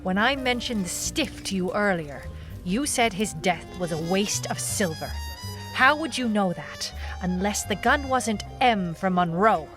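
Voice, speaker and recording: A woman's voice: medium pitch, lively intonation, a little slow, music in the background, close to an everyday voice. A woman playing a wry, hard-boiled private eye, clipped and dry, in a noir detective audio drama. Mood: accusing. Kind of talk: noir detective narration and radio-drama acting.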